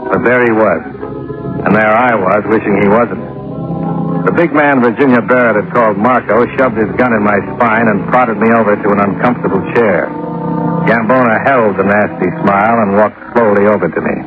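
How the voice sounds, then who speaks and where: An actor playing a cool, wisecracking hard-boiled investigator, narrating and acting in a wry, dry 1950s radio noir style. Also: male voice, lowish voice, a little fast, music in the background, natural voice. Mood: wry narration. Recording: dull vintage radio recording.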